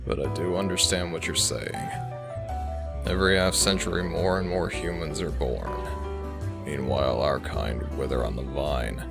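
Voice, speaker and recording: A man playing a world-weary, centuries-old werewolf alpha in an audio drama, brooding and sardonic, with a gravelly voice. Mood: resigned. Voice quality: very deep, gravelly. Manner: slow, brooding, deliberate. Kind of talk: dramatic audio roleplay acting.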